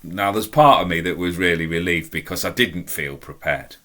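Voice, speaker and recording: A middle-aged man with a deep, strong voice, telling a personal story in a chatty, self-deprecating, emphatic way. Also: normal speed, fairly bright tone. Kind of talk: casual anecdotal storytelling.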